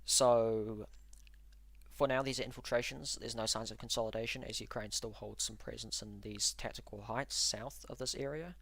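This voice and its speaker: A man hosting a live stream, walking through frontline maps and answering chat in an analytical, measured way with frequent ums and uhs. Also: medium pitch, fairly even intonation, gravelly, fairly bright tone, dry recording. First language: English. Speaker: livestream host and war mapper.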